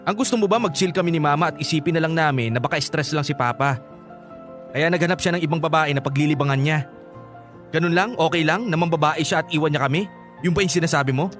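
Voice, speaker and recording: A man's voice: a little high, fast talker, fairly bright tone, faint music behind, lightly acted. A man playing a hurt, angry young son and boyfriend in a Filipino radio drama, stammering when upset. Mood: angry, confronting.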